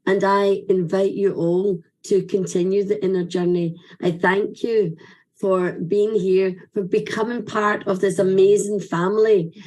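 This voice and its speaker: A woman's voice: mid-range voice, steady tone, a little slow, darkish tone. A woman with a Scottish accent giving an earnest, effusive and inspirational speech of thanks. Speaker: speaker giving a vote of thanks. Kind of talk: heartfelt ceremonial thanks speech.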